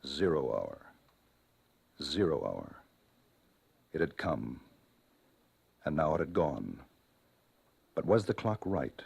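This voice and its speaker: An actor narrating a radio thriller as a grave, suspenseful storyteller with an American accent. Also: male voice, rumbling low, creaky, darkish tone, slightly rough, heavily acted. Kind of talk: dramatic scripted radio narration. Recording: vintage radio recording with music.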